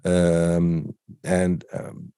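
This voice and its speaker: Man, teacher, deep, warm, head cold, hesitant, dry close sound. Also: completely flat delivery, drawn-out words, clear, resonant tone, no echo. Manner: hesitant, many ums and restarts. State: has a cold. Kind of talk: unscripted online lecture.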